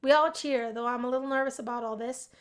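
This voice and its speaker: High-voiced woman reading a story aloud. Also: quick pace. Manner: expressive story reading with acted character voices. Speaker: video game streamer. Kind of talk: reading a visual novel aloud.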